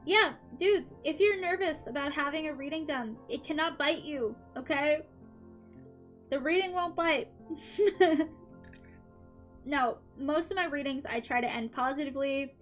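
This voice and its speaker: A woman's voice: high-pitched, measured pace, long pauses, a bit bright, faint music behind. A young woman streaming, chatting casually and upbeat with her viewers between tarot readings, her throat a little dry. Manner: chatty and upbeat, frequent "um" and light laughs. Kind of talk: casual live-stream chat.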